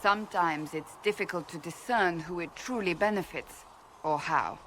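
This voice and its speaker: A woman's voice: lowish voice, a bit bright, slight background noise, natural voice. Actress as a grave, devout warrior woman. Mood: earnest. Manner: grave, deliberate, formal phrasing. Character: intense, devout, blunt warrior woman, earnest and resolute. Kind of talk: dramatic video game dialogue.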